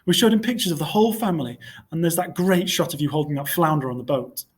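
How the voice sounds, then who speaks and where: A man's voice: a little high, fast, lightly acted. A man voicing a protective, joking father in a storybook. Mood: protective, earnest.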